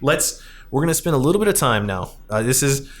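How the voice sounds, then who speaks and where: A man in his thirties hosts a relaxed talk about films and arcade games. He rambles in a halting way, often doubling back to correct his own sentences. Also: medium pitch. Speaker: podcast host.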